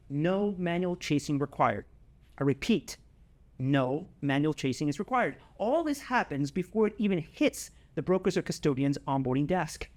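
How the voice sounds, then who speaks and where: Male corporate presenter, slightly high register, scripted announcement read, emphatic, with key phrases repeated for weight. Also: darkish tone. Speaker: company presenter.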